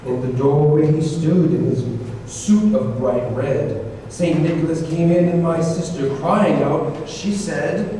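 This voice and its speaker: A man playing a narrator who recalls a dark Christmas tale from his boyhood, in theatrical rhyming old-time radio style. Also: on the high side, a little slow, lightly acted. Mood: suspenseful. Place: live stage performance in a hall. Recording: very echoey hall, distant mic, clear noise.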